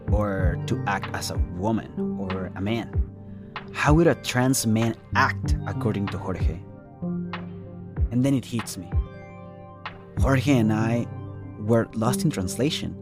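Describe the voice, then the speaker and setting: A young man tells a first-person story as scripted narration in a reflective, conversational way. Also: lowish voice. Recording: faint music bed behind. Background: soft music.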